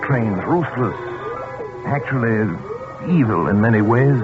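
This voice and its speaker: An actor playing a proud, obsessive scientist in 1940s radio style, with clipped, formal, dramatic diction. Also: male voice, medium pitch, measured pace, lightly acted. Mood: brooding, clinical. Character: obsessive scientist, proud and feverishly intense, narrating his experiment journal. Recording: tinny 1944 radio recording, music behind.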